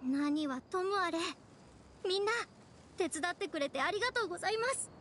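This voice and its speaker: A woman's voice: extremely high pitch, lively intonation, moderate pace, a bit bright, slight background noise. A woman voicing a polite, chatty, self-deprecating young female guide in an anime game. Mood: modest, grateful. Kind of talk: Japanese anime game voice acting.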